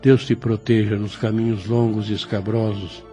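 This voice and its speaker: A man's voice: lowish voice, little pitch movement, normal speed, mellow, soft background music, lightly acted. A Brazilian actor playing a kindly, ailing elderly priest in an audio drama, solemn, fatherly and formal. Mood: fatherly gravity. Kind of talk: Brazilian radio drama acting.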